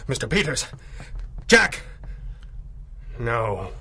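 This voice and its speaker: A stern masked superhero of a vintage radio serial. His voice is bright and forceful, with grave, dramatic authority in every phrase. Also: mid-range voice, big pitch swings, very slow, breathy edge, full character voice. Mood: urgent, grave. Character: stern, commanding masked male superhero of 1930s city. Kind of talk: 1930s-style radio drama acting.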